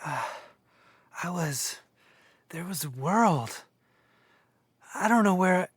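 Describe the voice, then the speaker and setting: A young man acting in an audio drama as a shaken young man who tells of things earnestly, with halting pauses. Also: high-pitched, lively intonation, husky, no room sound, heavily acted. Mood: dazed, insistent. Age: young adult. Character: shaken young man recounting an eerie vision.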